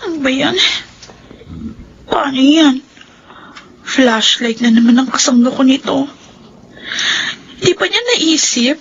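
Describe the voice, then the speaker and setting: A woman's voice: sits high, takes it slowly, long pauses, muffled recording, a little hiss or hum. A woman playing a put-upon, aggrieved eighteen-year-old student in a Filipino radio drama, with a plaintive delivery. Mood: weary, complaining.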